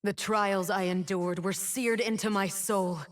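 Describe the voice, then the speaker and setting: Slow solemn game villainess type, bitter fallen winged woman, done by an actress, brooding and defiant. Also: mid-range voice, steady tone, close to an everyday voice. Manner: slow, solemn, theatrical delivery. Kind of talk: dramatic video game champion voice lines.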